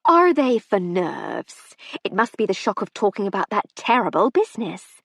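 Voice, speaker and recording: A woman's voice: mid-range voice, very animated, moderate pace, breathy edge, no echo. A woman doing a gushing, imperious society wife. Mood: gushing, sharp. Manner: gushing, then icy and imperious. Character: shrill, sharp-tongued society wife in her fifties.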